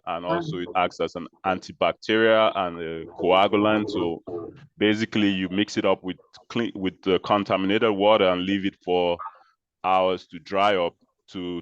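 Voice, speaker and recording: A man from Nigeria speaks with a very low, smooth and resonant voice, laying out his story in a measured, explanatory way. The sound is dry and a little dull, as over a video call. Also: dry recording. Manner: measured, explanatory, with frequent pauses and restarts. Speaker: interview guest, social entrepreneur. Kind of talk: conversational interview answers.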